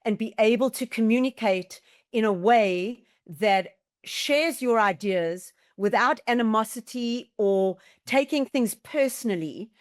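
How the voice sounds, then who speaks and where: A woman's voice: fairly high pitch, unhurried. Middle-aged woman with a South African accent. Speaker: podcast guest, team-building business leader. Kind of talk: conversational interview answers.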